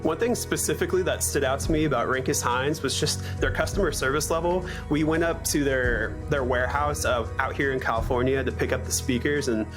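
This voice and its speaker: A man's voice: medium pitch, fairly quick, few pauses. A man giving a casual interview testimonial as an audio engineer. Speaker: church audio engineer and content producer. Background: background music.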